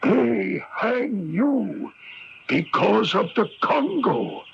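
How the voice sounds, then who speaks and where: An actor playing a vengeful, haughty phantom accuser in a radio mystery drama, with a raspy, menacing, condescending delivery. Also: male voice, sits high, sing-song, drawn-out words, fairly bright tone, a little hiss or hum, exaggerated voice. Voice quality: raspy, creaky. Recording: dull lo-fi radio recording.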